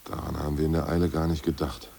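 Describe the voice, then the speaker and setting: A cynical gravelly old-school party boss, low and rough, radio play. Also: male voice, completely flat delivery, fast, warm tone, a little hiss or hum. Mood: scheming. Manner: blunt, coarse, self-assured bluster. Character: ousted party boss, gruff cynical man. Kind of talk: 1980s radio drama acting.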